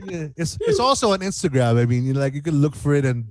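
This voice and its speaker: A man's voice: on the high side, very animated, fast, crowd noise. A man chatting casually as a singer being interviewed, informal and jokey about himself. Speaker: guest singer-songwriter.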